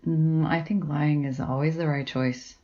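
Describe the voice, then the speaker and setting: Woman playing a sardonic, blunt, very low-voiced academic. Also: steady tone, measured pace, warm tone, muffled recording. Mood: dry, sardonic. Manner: dry, sarcastic, blunt. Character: sardonic, blunt, suspicious, foul-mouthed female college academic. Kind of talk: audio drama acting.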